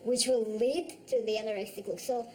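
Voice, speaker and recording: A woman in her forties from Russia with a Russian accent, speaking in a documentary interview in a measured way with pauses and restarts. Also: on the high side, darkish tone. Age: 40s.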